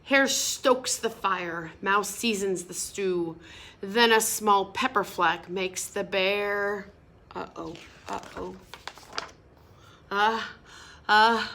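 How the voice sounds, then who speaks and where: Slow, bright-voiced woman reading aloud. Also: medium pitch. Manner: stops to explain words and ask children questions; sing-song, expressive. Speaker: storybook reader. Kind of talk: reading a picture book aloud to children.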